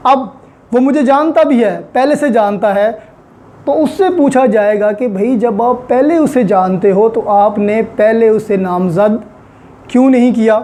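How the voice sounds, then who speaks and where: Pakistani woman lecturing, mellow and unhurried. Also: a little high. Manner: didactic, repeats key points for emphasis; mixes English terms and phrases into speech. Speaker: law lecturer. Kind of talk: explanatory lecture with worked examples.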